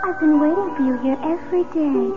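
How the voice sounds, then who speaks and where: A woman's voice: high voice, a little fast, natural voice. An actress playing a dead young woman's sweet, trusting ghost in a 1970s radio drama, with lingering courtesy. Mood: sweet, wistful. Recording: tinny 1970s radio recording with loud music.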